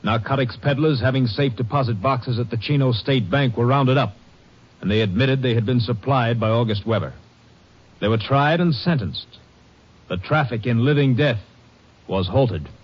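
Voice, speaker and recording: Male announcer, mid pitch, formal, solemn, measured, 1950s radio narration, muffled vintage sound.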